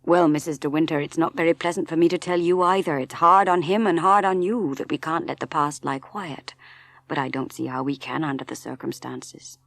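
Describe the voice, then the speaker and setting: Woman putting on a gruff, emphatic harbour master. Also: low voice, fairly even intonation, dry recording. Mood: reluctant, grave. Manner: blunt, measured, emphatic, apologetic. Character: gruff, plain-spoken harbour master, a reluctant older captain. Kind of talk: dramatic audiobook character reading.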